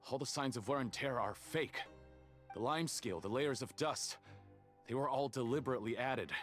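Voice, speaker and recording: An actor playing a dutiful male guard in a video game, giving a formal, brisk report. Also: medium pitch, fairly bright tone, natural voice. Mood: urgent. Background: faint game music.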